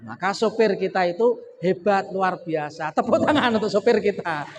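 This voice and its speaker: A man's voice: sits high, moderate pace. A man with a Javanese accent preaching a sermon, repeating key words for emphasis and joking with the audience. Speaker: Islamic preacher. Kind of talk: sermon with comic story. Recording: echoey hall, faint noise.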